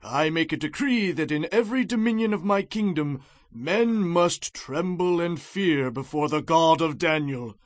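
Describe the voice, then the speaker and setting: A man's voice: on the high side, unhurried, no echo, studio quality, natural voice. An actor playing an anguished, reverent king in a dramatized Bible audio production, with a stately, declamatory delivery. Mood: solemn proclamation. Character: anguished, reverent Persian king, loud and solemn.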